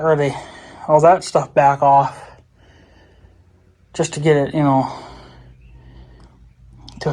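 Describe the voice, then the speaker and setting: A man's voice: fairly high pitch, darkish tone. A man chatting casually off the cuff, rambling and thinking aloud as he vlogs about a hands-on job, with some mild swearing. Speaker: vlogger. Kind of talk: casual off-the-cuff DIY vlog chat.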